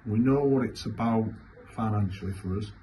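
A man, answering as a football manager after a match in halting, repetitive, emphatic phrases. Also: fairly low pitch, dark tone. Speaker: football manager giving a post-match interview. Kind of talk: post-match interview answers. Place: small indoor club press room. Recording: clearly noisy room ambience.

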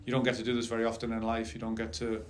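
Middle-aged male coach at a press table mic, even and unhurried, flat delivery, faint room noise. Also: mid-range voice. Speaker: head soccer coach. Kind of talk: post-game press conference answers. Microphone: table mic on a stand.

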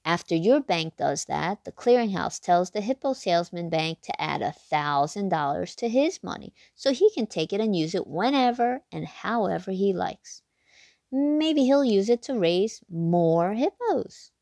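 A woman hosting a read-aloud, reading a children's picture book expressively, with big excited leaps in pitch. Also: measured pace, dry recording, clean studio sound.

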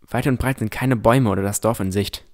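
A man's voice: lowish voice, brisk, very noisy. A man reading scripted first-person narration as the narrator of a fantasy audio drama.

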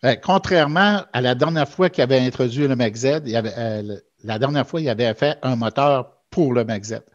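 A man's voice: mid-range voice, a little fast. A middle-aged man with a Quebec French accent explaining things in casual, somewhat hesitant podcast talk over a headset microphone. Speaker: podcast co-host, product expert. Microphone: headset boom microphone. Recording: dull, lo-fi headset sound.

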